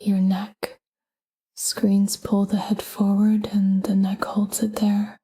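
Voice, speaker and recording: Breathy whispering woman guiding sleep, very slow. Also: mid-range voice. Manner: calm, gentle, unhurried with short phrases. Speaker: sleep meditation guide. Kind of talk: whispered guided sleep meditation.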